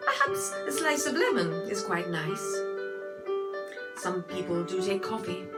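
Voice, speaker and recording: A woman's voice: medium pitch, very animated, unhurried. A woman cabaret entertainer with a playfully genteel, rambling manner, performing comic music-hall songs and chatty patter. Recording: music mixed loudly with the voice. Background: loud musical accompaniment with guitar.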